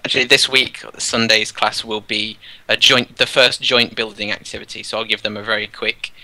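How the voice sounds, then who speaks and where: A man with a lowish, very bright voice talks casually about his teaching, wandering through his thoughts with plenty of fillers and restarts. The sound is dry and close, with no room around it. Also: normal speed, dry recording, poor audio. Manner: relaxed, rambling, many fillers and restarts. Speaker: teacher, guest. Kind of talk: casual conversation while touring a game world.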